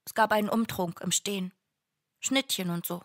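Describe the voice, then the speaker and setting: An actress playing a wistful, earnest messenger from heaven in a radio play, reflective and measured. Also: female voice, mid-range voice, fairly even intonation, no room sound, close to an everyday voice. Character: wistful, earnest dead woman, heavenly messenger longing for love. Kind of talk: radio play acting, narration.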